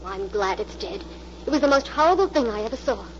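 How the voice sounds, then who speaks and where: A plucky, wry young heroine in a 1940s radio mystery. Her voice is fairly high, on a muffled recording with clear noise. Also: lively intonation, fast. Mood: frank, wry.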